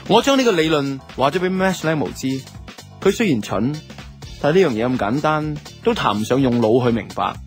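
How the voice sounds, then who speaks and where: A man's voice: fairly high pitch, big pitch swings, brisk. A man with a Hong Kong Cantonese accent playing a laid-back young man who narrates his love life in a reflective, colloquial way for a radio drama. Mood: musing. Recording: music under the voice.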